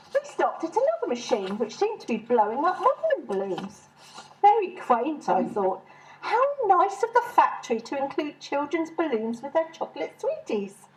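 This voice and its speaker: A woman's voice: sits high, poor audio. A woman reading her own humorous short story as a first-person narrator.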